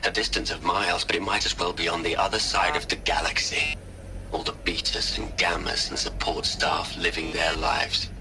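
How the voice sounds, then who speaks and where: An actor playing a bitter, grieving older scientist, speaking ruefully and deliberately in a video game audio log. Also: male voice, deep voice, flat delivery, creaky, background noise. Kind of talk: scripted audio log monologue. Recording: music behind the voice. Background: music.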